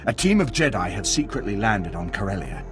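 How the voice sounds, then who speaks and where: An actor playing an earnest, rattled military spy in a video game, clipped and deferential in delivery. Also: male voice, lowish voice, big pitch swings, a little fast, over music. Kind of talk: video game cutscene acting.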